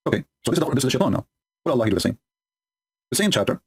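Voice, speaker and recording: A man whose first language is Arabic rattles through scripture at breakneck, double-speed pace, mocking as he goes. His voice is slightly husky, and his English is broken but forceful. The delivery is sarcastic and combative throughout. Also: mid-range voice, sing-song, racing. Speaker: religious polemic commentator. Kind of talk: commentary reading and mocking scripture.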